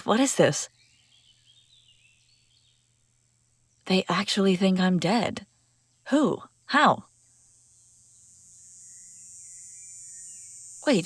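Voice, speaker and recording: A commanding fantasy princess on the run, acted by a woman, speaks directly to the listener. Her delivery is expressive and theatrical, wry and incredulous, at a medium pitch. The sound is close and dry, as in an immersive audio roleplay. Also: no echo. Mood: stunned, questioning. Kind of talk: immersive audio roleplay acting, one side of a dialogue.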